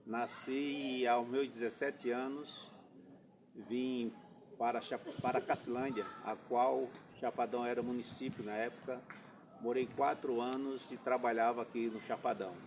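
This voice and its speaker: A man in his fifties from Alagoas, a former mayoral candidate, telling his story slowly and deliberately in a podcast interview, with halting and formal phrasing. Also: mid-range voice, level tone, recorded from a distance. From Alagoas, Brazil. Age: 50s. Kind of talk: podcast interview, personal account. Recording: voice from off-mic with clear background noise.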